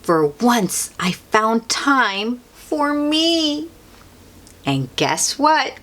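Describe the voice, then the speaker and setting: A woman reading a children's picture book aloud as a storyteller, expressively and theatrically, with drawn-out emphasis. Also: on the high side, very animated, fairly bright tone, slight background noise.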